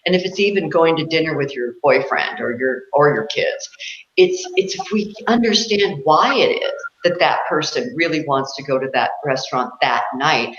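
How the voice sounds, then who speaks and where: A woman co-hosting an interview, telling a story in an informal, enthusiastic and expressive conversational way. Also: sits low, normal speed. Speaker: interviewer, co-host. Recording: roomy echo, dull lo-fi sound.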